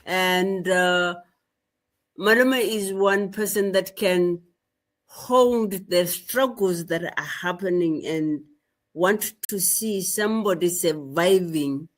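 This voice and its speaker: A middle-aged woman from rural Zimbabwe, a guest telling her story in a slow, measured way and often repeating phrases. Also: fairly low pitch. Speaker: guest activist. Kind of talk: interview storytelling.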